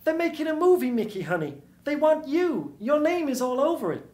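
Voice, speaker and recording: Man doing a high-pitched seductive noir dame. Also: big pitch swings, fairly quick, darkish tone. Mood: coaxing. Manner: sweet, breezy, cajoling. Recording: roomy stage sound.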